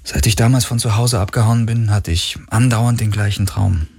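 A man voicing a young woman who sounds sleepy and quiet in an audiobook. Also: lowish voice, fairly even intonation, normal speed, creaky, breathy edge, voice pushed up. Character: young female pilot, sleepy and uneasy.

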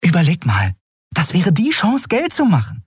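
A headstrong adventuress, played by a male narrator in a fantasy audiobook, pitched high with very lively intonation. She talks briskly and persuasively, stubborn and bold, in a close, dry recording. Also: breathy edge, no room sound, exaggerated voice. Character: bold, stubborn young woman, adventurous thief and ship owner.

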